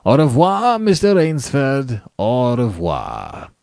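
A man's voice: fairly low pitch, very animated, a little slow, a little mellow, dry recording, clean studio sound, heavily acted. A man voicing a suave, falsely courteous aristocratic general and cruel hunter in a storybook, with a courtly, condescending, theatrical manner. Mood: businesslike, airy. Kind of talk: dramatic storybook reading.

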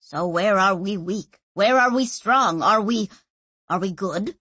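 A woman playing an excitable, blustering male scholar-inventor who speaks emphatically and trips into repeats. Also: medium pitch, a little slow, slightly rough, no room sound. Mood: intense, probing. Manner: ranting, emphatic, stammering repeats.

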